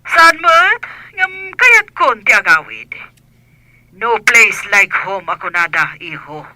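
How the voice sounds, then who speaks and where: Man as excitable older mother, high sharp voice, exaggerated drawl, telephone effect, radio comedy. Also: slow pace, dry recording, heavily acted, rough recording. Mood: excited, insistent. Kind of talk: radio comedy drama acting.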